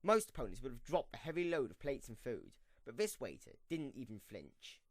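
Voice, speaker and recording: A man's voice: medium pitch, very animated, moderate pace, no echo, studio quality. A man reading scripted story narration as the narrator of an audio drama.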